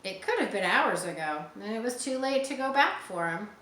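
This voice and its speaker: A woman's voice: mid-range voice, expressive, moderate pace, fairly bright tone, lightly acted. A woman voicing a stern, suspicious male ship's captain with a grave, complaining manner in a storybook reading. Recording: roomy echo, faint background noise.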